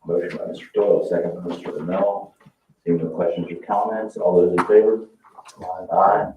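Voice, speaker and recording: A man's voice: low-pitched, fairly even intonation, moderate pace, noisy background. A man chairing a public meeting as council president, calling votes and putting questions in procedural language. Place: indoor council meeting room. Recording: echoey, distant, dull meeting-room audio.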